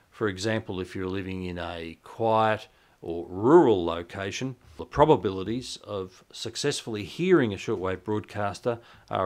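Low-pitched Australian man explaining software. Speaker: shortwave radio hobbyist, presenter. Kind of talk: instructional software walkthrough narration.

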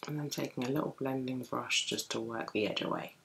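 Young woman vlogger, super low sleepy morning voice, chatty makeup tutorial at home. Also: a little fast, gravelly, a bit bright. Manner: chatty, talks while applying makeup. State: just woken, deep morning voice. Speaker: beauty vlogger. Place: home room with bookshelves, indoors.

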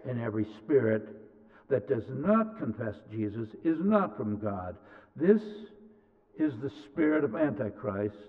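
A middle-aged man reads scripture slowly and deliberately from a pulpit. His voice is tinny and narrow, like a phone line, and a long reverb trails each phrase through the church sanctuary. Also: medium pitch. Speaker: scripture reader. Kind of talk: slow scripture reading. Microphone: pulpit mic, far camera.

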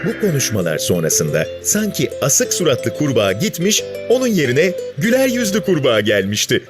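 A man's voice: medium pitch, wildly swooping pitch, moderate pace, over music. A man narrating a scripted story for children.

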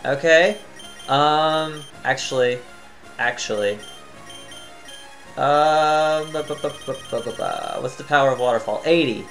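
A tired man giving casual, chatty commentary as he plays a video game, thinking out loud and humming to himself. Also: fairly high pitch, a little slow, pauses often, faint music behind, low-quality recording. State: tired, voice near cracking. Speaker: gaming channel host.

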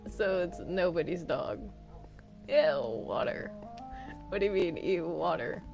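A woman's voice: lowish voice, sing-song, takes it slowly, gravelly, a little mellow. A woman chatting casually in roleplay while playing a game with friends, with music behind her. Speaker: gaming video creator playing with friends.

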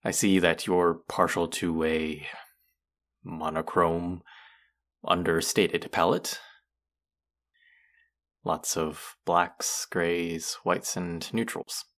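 A man's voice: low voice, steady tone, very slow, pauses often, fairly bright tone, full character voice. A man playing a condescending, sardonic young college student, the rude twin in a scripted audio roleplay. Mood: condescending, instructing. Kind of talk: scripted roleplay addressed to the listener.

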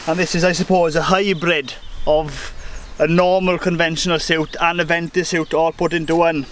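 A man's voice: fairly high pitch, level tone, normal speed, a bit bright, a little hiss or hum. Chatty young man reviewing outdoors. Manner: chatty, upbeat, thinking aloud. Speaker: beekeeper reviewing gear. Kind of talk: casual product review vlog. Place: outdoors in a rural field by a truck. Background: birdsong and faint outdoor ambience.

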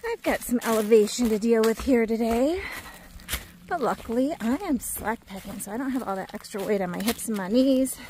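A woman's voice: a little high, normal speed, dark tone, a little hiss or hum. A woman in her forties, filming herself on a phone at arm's length while hiking outdoors in mountain woods, talking in a chatty, upbeat way. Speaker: hiking vlogger. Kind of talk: casual trail vlog narration. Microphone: phone held at arm's length.

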